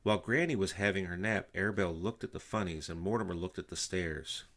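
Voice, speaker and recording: An older man reading a children's story aloud in an animated storytelling style. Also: sits low, fast talker. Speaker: storybook reader.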